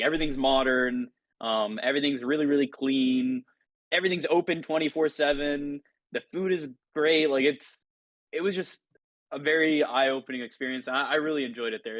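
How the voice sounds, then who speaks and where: A man's voice: mid-range voice, fairly bright tone, no echo, lo-fi sound. A man who grew up in Italy, a podcast guest chatting in a loose, enthusiastic, rambling way. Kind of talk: casual podcast chat.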